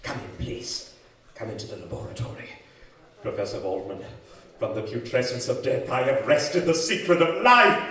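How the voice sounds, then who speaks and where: An actor playing a fanatical, grandiose young scientist in an old-time radio horror drama, declaiming with feverish excitement. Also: male voice, mid-range voice, normal speed. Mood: secretive, triumphant. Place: live stage show in a community hall. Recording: echoey community hall, far from mic.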